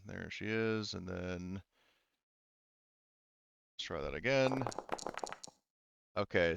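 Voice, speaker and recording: A man running a tabletop roleplaying game as game master, narrating off the cuff and haltingly thinking aloud. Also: low-pitched, vocal fry, fairly bright tone, no echo, muffled recording.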